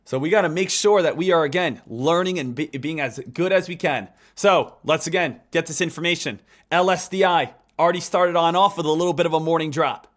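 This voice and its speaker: A man in his thirties teaching a live trading lesson, talking in an energetic, repetitive coaching style. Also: fairly high pitch, fairly quick, hardly any pauses. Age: 30s. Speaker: trading instructor.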